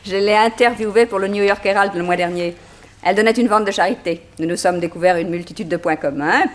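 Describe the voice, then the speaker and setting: A woman's voice: medium pitch, fast, natural voice. An actress playing a vivacious, worldly woman journalist, playful and breezy, in a 1960s French radio drama.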